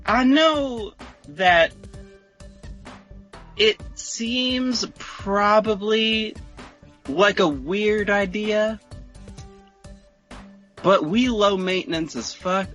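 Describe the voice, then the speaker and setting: A man's voice: high voice, bright, forceful tone. A man hosting a podcast with casual comic banter, speaking in an emphatic, profane way. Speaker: podcast host.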